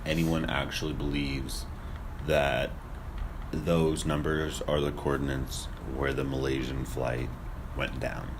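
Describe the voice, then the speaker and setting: A man's voice: rumbling low, flat delivery, slow pace. A young man chatting casually as a podcast co-host, with a skeptical, questioning manner. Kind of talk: casual conspiracy discussion. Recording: noisy room recording.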